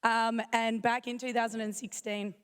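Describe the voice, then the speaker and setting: A woman speaks at a conference with a croaky voice she is fighting to keep going. Her pitch sits fairly high and the tone stays smooth beneath the rasp. She talks in a chatty way, full of quick self-joking asides. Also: flat delivery. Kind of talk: casual conference talk. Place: conference hall.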